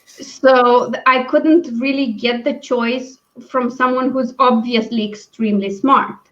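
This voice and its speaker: High-voiced woman on a muffled stream. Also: fairly even intonation, unhurried, fairly bright tone. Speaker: book read-along live stream host. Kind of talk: casual book discussion. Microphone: video call. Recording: dull, lo-fi stream audio.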